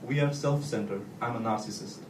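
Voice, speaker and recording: Nepali-accented man, halting, in an echoey room. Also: on the low side. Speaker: guest speaker, volunteer. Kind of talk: personal talk to an audience. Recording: echoey room, distant mic, clear noise.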